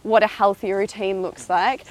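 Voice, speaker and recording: A woman speaks as a guest in a relaxed outdoor interview, walking through a park. Her voice sits at an ordinary middle pitch, and she explains things earnestly in a chatty flow, leaning on casual fillers. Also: normal speed, rough recording. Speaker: guest, entrepreneur.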